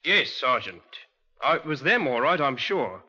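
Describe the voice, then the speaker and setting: An actor playing a confident, respectful British police constable in a 1950s radio drama, speaking emphatically. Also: male voice, mid-range voice, sing-song, a little slow, sharp tone, slightly rough, exaggerated voice. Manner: emphatic, respectful, sure of himself. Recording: dull old radio recording.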